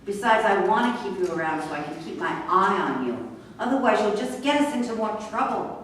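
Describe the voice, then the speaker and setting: A woman's voice: mid-range voice, animated, brisk, natural voice. Feisty stubborn young woman actress reading a play, really echoey, distant mic w faint noise. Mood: exasperated. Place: echoey room at a live staged reading.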